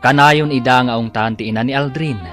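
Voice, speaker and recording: A man's voice: mid-range voice, steady tone, brisk, fairly bright tone. A man with an Ilocano accent giving dramatic scripted narration as the announcer of a radio drama. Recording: radio drama with music bed.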